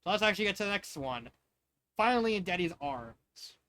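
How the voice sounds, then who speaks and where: A man's voice: sits high, sing-song, a little fast, background noise. A man narrating fan fiction in an informal, amateur way, stumbling over words with casual asides.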